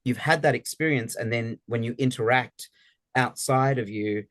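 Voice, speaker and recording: A man's voice: mid-range voice, moderate pace, a bit bright, no echo. A man leading an interactive group session on a video call, encouraging and affirming, often restarting his words. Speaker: workshop facilitator.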